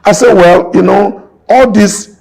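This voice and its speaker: Man, 60s, somewhat high voice, emphatic preacher-like delivery, businessman guest. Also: unhurried, creaky, darkish tone, low-quality recording. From Nigeria. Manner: emphatic, preacher-like, repeats points. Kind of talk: impassioned interview talk.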